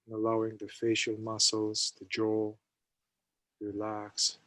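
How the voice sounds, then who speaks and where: A man, a somatic therapist, leading a guided meditation, speaking slowly and softly in short phrases with long pauses. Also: fairly low pitch, completely flat delivery, rough recording. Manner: slow, soft-spoken, with long pauses between phrases.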